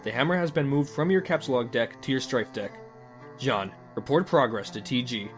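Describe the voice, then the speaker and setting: A male narrator reads a scripted second-person story with a measured, dryly formal delivery. His voice sits at a middle pitch, with soft music playing behind it.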